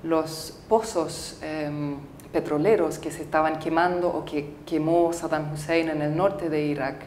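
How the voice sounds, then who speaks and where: Low-voiced woman filmmaker in a roomy studio. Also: fairly even intonation, darkish tone, a little hiss or hum. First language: English. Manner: thoughtful, halting, with frequent fillers and restarts. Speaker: filmmaker interviewee. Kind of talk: casual interview answers.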